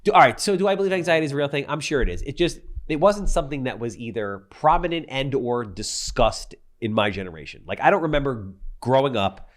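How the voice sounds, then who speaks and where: A man's voice: mid-range voice, animated, quick pace, few pauses. A man in his forties hosting a casual podcast chat, blunt and profane, teasing his guests. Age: 40s. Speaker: podcast host.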